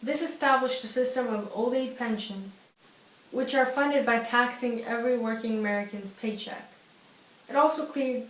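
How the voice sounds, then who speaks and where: A young woman reading scripted news as an anchor. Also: fairly high pitch. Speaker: news anchor in an amateur newscast. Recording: roomy echo with faint hiss.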